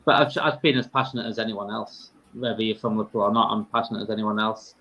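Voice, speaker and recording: A man's voice: on the low side, steady tone, fast, vocal fry, bright, forceful tone, highs cut off. A man in his thirties with a Yorkshire accent, talking casually as a guest in a conversation. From Yorkshire, England. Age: 30s. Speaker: podcast guest, fan podcaster.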